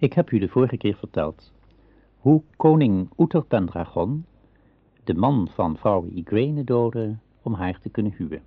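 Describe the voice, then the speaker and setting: A man's voice: on the low side, animated, unhurried. A man narrating a radio drama as a scripted storyteller. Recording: dry, tinny old radio recording.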